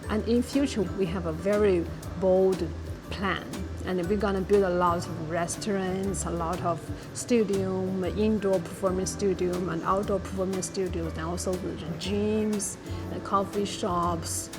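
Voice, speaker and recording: A woman's voice: medium pitch, fairly even intonation, music in the background. A woman from Shanxi speaking English with a Mandarin accent, explaining a site with frequent restarts and fillers. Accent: Mandarin Chinese. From Shanxi, China. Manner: halting, self-correcting tour explanation. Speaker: hostel staff guide.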